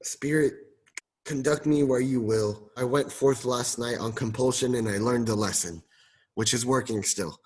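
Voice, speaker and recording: A man's voice: medium pitch, moderate pace, husky, lightly acted, poor audio. A man playing a sour old miser, now humbled, speaking formally and earnestly in a radio play.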